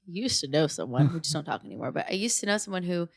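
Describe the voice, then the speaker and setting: An animated young woman from Iran, a guest on a casual chat show. She tells stories with lively swings in her voice and acts out what people said. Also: lowish voice, fast talker, creaky, slightly rough. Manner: animated storyteller, acts out quotes. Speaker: podcast guest.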